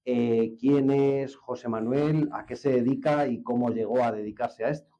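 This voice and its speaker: A man's voice: medium pitch, steady tone, moderate pace, warm tone. A man with an accent from Spain, hosting a conversational interview in an informal, familiar way. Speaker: interview host. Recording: slightly dull, lo-fi sound.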